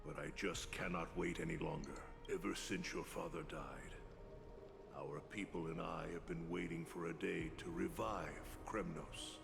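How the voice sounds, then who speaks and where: A man's voice: low-pitched, steady tone, moderate pace, vocal fry. A man voicing a grave, proud warrior lord in a video game, with formal, accusing rhetoric. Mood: grave pleading. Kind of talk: dramatic game voice acting. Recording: hall reverb, game music behind.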